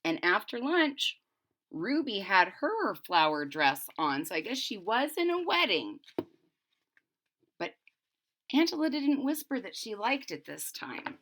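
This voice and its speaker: A woman's voice: medium pitch, sing-song, measured pace, fairly bright tone, a little hiss or hum. A woman reading a children's storybook aloud expressively and explaining it to young listeners in asides. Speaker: storybook reader.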